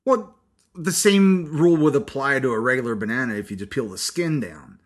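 A man hosting a podcast in casual banter, loud and boisterous, teasing his guest and swearing freely. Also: mid-range voice, animated, fairly quick. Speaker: podcast host.

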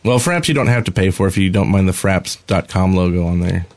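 A man with a fairly low voice talks casually as a podcast co-host. The sound is dry and close, with little room to it. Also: expressive, brisk, darkish tone, dry recording.

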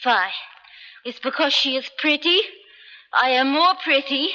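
A woman's voice: sits high, a little slow, pushed and bright. An actress playing a naive, eager, feisty young local woman in a radio drama, talking in simple, emphatic phrases. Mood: jealous, blunt. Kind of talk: 1970s American radio drama acting. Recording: dull vintage radio recording.

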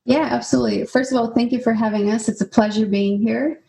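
A woman's voice: fairly high pitch, steady tone, fast talker, vocal fry, dark tone, a little hiss or hum. A woman from Albania, chatting casually as a podcast guest with fillers and easy laughter. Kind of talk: casual interview chat. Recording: roomy, distant mic.

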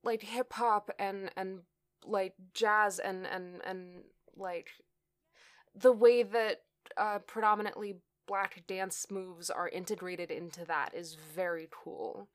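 A woman's voice: medium pitch, steady tone, slow pace. Hesitant woman voice actor guest. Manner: hesitant, many fillers and restarts, laughs along. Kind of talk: casual conversational interview.

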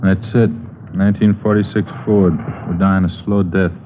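An actor as a glum, low-voiced junkyard owner. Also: male voice, little pitch movement, moderate pace, narrow, tinny audio, natural voice. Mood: resigned, complaining. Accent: American. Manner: plain-spoken, resigned. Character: glum, beleaguered male wrecking-yard owner. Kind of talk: 1950s radio drama dialogue. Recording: old band-limited radio broadcast, faint noise.

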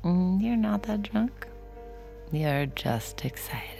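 A smitten, tipsy woman on a first date in an intimate audio drama. She speaks slowly and slightly low, with a creaky, breathy edge, flirty yet nervous. Music plays clearly behind her. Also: lively intonation, full character voice. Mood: tipsy, giggly.

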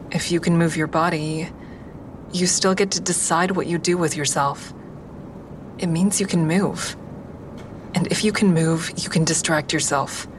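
A woman playing a calm, candid crisis line counselor in an audio drama, speaking in a measured, consoling way. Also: fairly low pitch, background noise, natural voice. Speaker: crisis line counselor and inner-monologue narrator. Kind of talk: audio drama acting with first-person asides.

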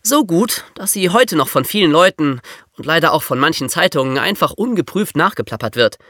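An actor playing an excitable, chatty boy who eagerly tells stories in a scripted podcast. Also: fairly high pitch, brisk, no room sound, studio quality. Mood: animated, debunking. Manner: eager, animated storyteller. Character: excitable, chatty boy sharing investigated theories. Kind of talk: scripted podcast banter and storytelling.

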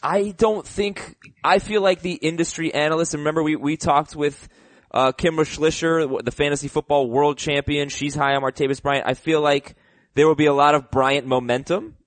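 A man hosting a casual sports talk show, animated and giving hot takes, his voice a little rough from getting sick. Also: a little high, normal speed, dry recording. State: slightly sick voice. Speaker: podcast host.

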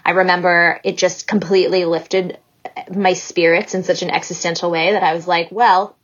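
A woman's voice: fairly low pitch, flat delivery, moderate pace, lo-fi sound. A woman guest on a podcast, chatting enthusiastically with many fillers and restarts. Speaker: guest, staff writer. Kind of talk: casual chat.

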